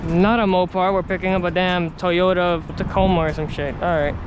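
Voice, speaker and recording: A man's voice: high voice, fairly even intonation, moderate pace. A young man vlogging casually, laid-back and swearing freely while he looks over cars. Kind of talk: casual vlog. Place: outdoors at a car lot and in a truck cab. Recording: outdoor traffic and wind noise.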